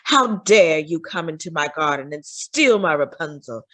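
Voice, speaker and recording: A woman voicing a wicked, domineering sorceress in a storybook, with stern, commanding, drawn-out threats. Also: low-pitched, lively intonation, a bit bright, pitched below the performer's own voice. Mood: menacing.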